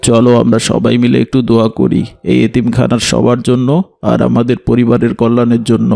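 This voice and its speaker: Man as gravelly, mellow cartoon father. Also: mid-range voice, completely flat delivery, a little fast. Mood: grateful. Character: kindly, devout, contented father. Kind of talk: children's cartoon voice acting.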